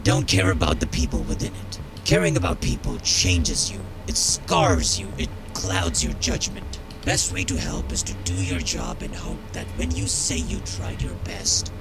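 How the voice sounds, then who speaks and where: A man's voice: low-pitched, very animated, normal speed, gravelly, few pauses, over music, exaggerated voice, low-quality recording. An actor playing a stern, jaded military sergeant in a game, cold, contemptuous and authoritative as he drills a recruit. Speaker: game character voice. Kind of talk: scripted game dialogue.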